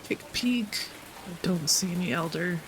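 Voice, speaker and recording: A woman's voice: on the low side, moderate pace, noisy background. A woman hosting a gaming channel, giving casual commentary and reading on-screen text aloud as she plays. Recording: loud music behind the voice. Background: music.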